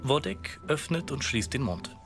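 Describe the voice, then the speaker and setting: A male narrator delivers scripted audio description. His pitch sits in the middle, and music plays clearly behind his voice. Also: big pitch swings, a little slow. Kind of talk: scripted narration of film action.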